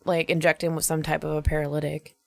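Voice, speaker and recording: A woman with a lowish, heavily creaky voice chats casually in a very dry recording. She pitches improvised ideas bluntly and matter-of-factly as a podcast co-host. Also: level tone, fast talker, darkish tone, no echo, soft background music. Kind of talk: casual improvised brainstorming chat.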